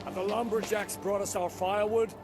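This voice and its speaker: An actor playing a stern, scornful centurion and dog trainer in a fantasy video game, barking and haggling. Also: male voice, sits high, steady tone, fairly quick, loud music behind the voice, close to an everyday voice. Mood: commanding, stern.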